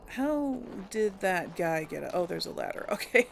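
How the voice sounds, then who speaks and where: A woman with a creaky voice giving casual, easygoing game commentary, musing to herself as she plays. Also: on the low side, lively intonation, normal speed, a little hiss or hum. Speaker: let's play host. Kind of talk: casual game commentary with light role play. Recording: faint background game ambience.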